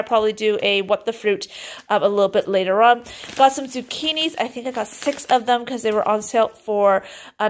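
A woman's voice: mid-range voice, a little hiss or hum. A woman in her thirties from Canada, chatting in an upbeat way in her home kitchen as she shows her groceries. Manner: chatty and upbeat, lots of "um" and "I mean". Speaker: vlogger showing a grocery haul. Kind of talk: casual chat.

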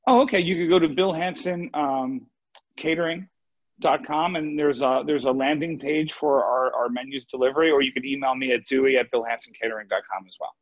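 A man giving a casual cooking demo as a chef over a video call, talking informally and haltingly as he thinks aloud. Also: medium pitch, fairly even intonation. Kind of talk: casual live cooking demo, question and answer. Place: home kitchen on a live video call. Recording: muffled dry online video-call sound.

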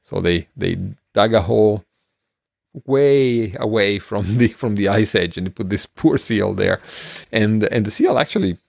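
Middle-aged male podcast co-host, gravelly and fairly deep, rambling conversational explainer. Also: animated, a little slow, darkish tone, dry recording, studio quality. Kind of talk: casual explanatory chat. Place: small room at home, on a video call. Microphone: close microphone, headphones.

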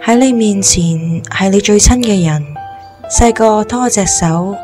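A woman playing a gentle, downcast young woman with amnesia in an audio drama. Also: medium pitch, a little slow, faint music behind, close to an everyday voice. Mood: sad, frustrated.

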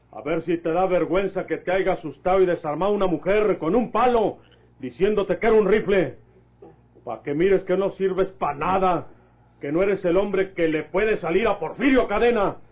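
Proud, menacing Mexican bandit. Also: male voice, sits high, normal speed, a little mellow. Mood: scornful, commanding. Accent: northern Mexican. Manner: menacing, taunting, commanding. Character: proud, vengeful male bandit of rural Mexico. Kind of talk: old Mexican radio drama acting.

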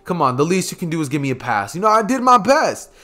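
A man's voice: fairly high pitch, big pitch swings, quick pace, pushed and bright. A man voicing a sheepish, laid-back old village leader who stammers excuses in slangy bro talk.

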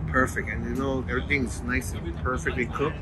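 A man's voice: medium pitch, fairly even intonation, fairly quick. A middle-aged man casually reviewing dishes at an outdoor restaurant, enthusiastically describing each plate. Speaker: food reviewer. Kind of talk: casual food vlog narration. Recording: loud outdoor traffic noise.

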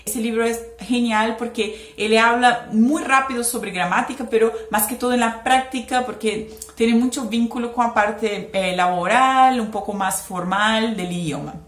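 A woman's voice: fairly high pitch, normal speed, hardly any pauses. A young woman from Brazil, speaking with a Brazilian Portuguese accent, explains language-learning tips in a chatty, casual vlog with many "eh" fillers. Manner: chatty, explains with hand gestures, frequent "eh" fillers. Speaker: language vlogger. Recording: echoey room.

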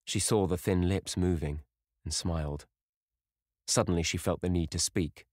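A man narrating a classic novel in a measured, unhurried way. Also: low-pitched, steady tone, darkish tone, no room sound, clean studio sound. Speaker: audiobook reader. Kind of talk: scripted narration.

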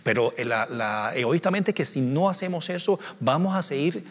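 A man's voice: on the high side, expressive, normal speed, long reverb tail. A man in his forties, from Cuba, a scientist speaking on a studio panel, gesturing and restarting his phrases often. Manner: gestures a lot, many restarts and fillers. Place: television studio. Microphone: small lapel mic.

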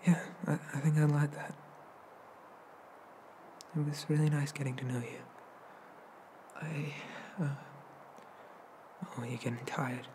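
A man as a shy, stammering, slow-spoken incubus. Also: fairly high pitch, fairly even intonation, poor audio. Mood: shy, sleepy calm. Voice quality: soft, often slipping into breathy whispers. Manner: hesitant, stammering, bashful. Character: shy, awkward, gentle young male incubus demon. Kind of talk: ASMR roleplay. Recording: noticeable background noise.

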